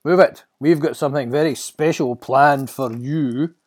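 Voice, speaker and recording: A male storyteller puts on a bullying temple guard. The voice is raised high and loud, barking out orders and taunts with rough impatience. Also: unhurried, lightly acted. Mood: menacing.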